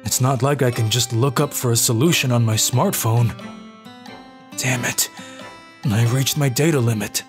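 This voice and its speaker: A woman voicing an anxious, self-pitying, socially awkward boy narrating his own life in an animated manga story. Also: rumbling low, normal speed, pauses often, over music. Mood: glum, reflective. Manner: expressive, self-deprecating monologue with outbursts.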